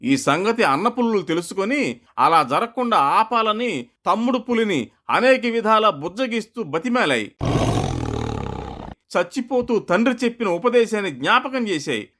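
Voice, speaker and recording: A man telling a scripted folktale as narrator, with expressive, dramatic delivery. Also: high-pitched, normal speed. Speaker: storyteller.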